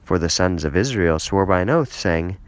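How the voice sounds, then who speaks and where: Low-voiced man reading scripture. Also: expressive, moderate pace, no room sound. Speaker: scripture reader. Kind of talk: scripted Bible narration.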